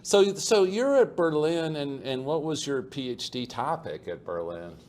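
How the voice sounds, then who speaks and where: A man's voice: on the high side, sing-song, measured pace, a little mellow. A middle-aged man hosting an interview, chatty and repetitive, often tagging "okay?" onto his sentences. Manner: chatty, repeats words, adds tag questions like "okay?". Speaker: podcast host. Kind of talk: conversational interview. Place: conference venue. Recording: faint background noise at a conference.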